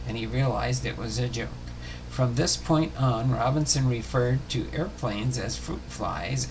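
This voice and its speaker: A man hosting a baseball history series, reading biography notes aloud in a halting way with small stumbles and self-corrections. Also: medium pitch. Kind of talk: scripted biography narration, read aloud. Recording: clearly noisy home recording.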